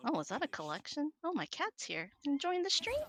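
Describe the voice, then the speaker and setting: A woman's voice: sits high, wildly swooping pitch, a little fast, fairly bright tone. A woman co-commentating a video game tournament stream from home, upbeat and chatty. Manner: upbeat, chatty, laughs easily. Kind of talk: casual livestream chat.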